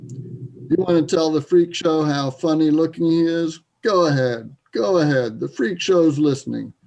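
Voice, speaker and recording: A man's voice: on the high side, measured pace, creaky, a little mellow. A man playing a drunk, belligerent bodybuilder bully in a readers theater piece, taunting and confrontational. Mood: bitter, taunting. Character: drunk, belligerent, self-loathing bodybuilder bully in a small town. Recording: dull, muffled sound.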